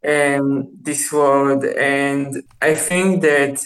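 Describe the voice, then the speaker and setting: A man from Israel with an Israeli accent, a musician interviewed as a guest, speaking haltingly and repeating words. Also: medium pitch, flat delivery, a bit bright.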